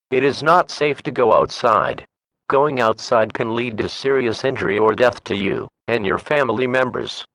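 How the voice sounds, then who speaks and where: A man's synthetic voice with a dry, close sound and a middling pitch delivers a formal emergency broadcast announcement. The reading is measured and flat, scripted from start to finish. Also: vocal fry, no room sound.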